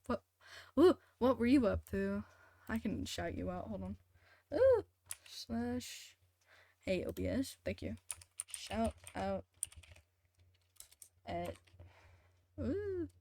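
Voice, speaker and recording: A woman's voice: fairly high pitch, expressive, slow, long pauses, a little mellow, no echo. A woman live streamer chatting casually while gaming, hesitant and thinking aloud as she talks to viewers.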